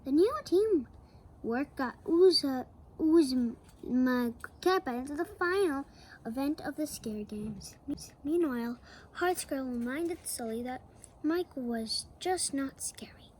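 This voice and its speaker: Lady reading a kids book out loud, high pitched, chatty little asides to the listener. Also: lively intonation, takes it slowly, a little hiss or hum, low-quality recording. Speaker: children's book reader. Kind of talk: read-aloud storytelling for kids.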